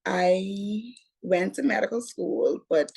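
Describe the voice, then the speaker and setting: A Bahamian woman in her twenties, a guest telling her story in a casual, unhurried interview. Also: medium pitch, vocal fry, darkish tone, slightly rough, poor audio. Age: 20s. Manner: unhurried, repeats "what had happened was".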